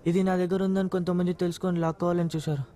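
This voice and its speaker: A man's voice: sits high, flat delivery, rapid-fire, dark tone, lightly acted. An actor playing a nervous, stubborn, defensive man in a film drama. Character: nervous, stubborn man guarding a found diamond. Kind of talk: film dialogue.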